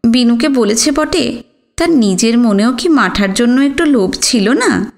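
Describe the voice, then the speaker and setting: A woman's voice: fairly high pitch, expressive, measured pace, vocal fry. A woman storyteller reading a scripted literary narration for an audiobook.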